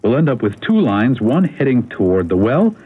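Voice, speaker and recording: Excitable, methodical husband, stammers slightly, animated intonation, radio mystery drama, thin telephone-band audio with noise. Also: male voice, medium pitch, moderate pace, breathy edge, narrow, tinny audio. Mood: reasoning, excited. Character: eager, methodical husband hunting for treasure.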